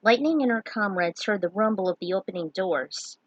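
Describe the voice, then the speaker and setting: A woman's voice: medium pitch, normal speed, no echo, muffled recording. A woman storyteller reading scripted fantasy fan fiction as narration.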